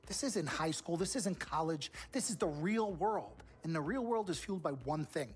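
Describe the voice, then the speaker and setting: An actor playing a pushy, money-hungry male sports agent, slick and emphatic, with a husky voice, in a video game cutscene. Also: fairly high pitch, lively intonation, normal speed, faint music behind, close to an everyday voice.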